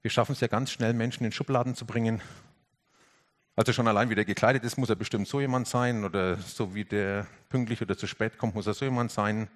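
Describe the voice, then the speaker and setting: Man, lower register; earnest preacher, moves between emphatic lifts and hushed passages. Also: fairly even intonation, quick pace. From Franconia, Germany. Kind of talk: reflective sermon.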